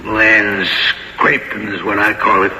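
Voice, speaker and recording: A man playing a wry, folksy old countryman with a rural American accent and deliberate, sardonic delivery in a radio drama. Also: on the low side, level tone, creaky, bright, forceful tone, heavily acted. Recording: old radio recording, reverberant, faint hiss.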